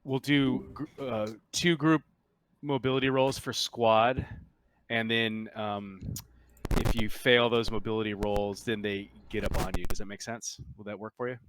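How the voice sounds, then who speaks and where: A man's voice: lowish voice, steady tone, a little slow, a bit bright, a little hiss or hum, rough recording. A man running a tabletop roleplaying game as game master, explaining rules and narrating scenes conversationally.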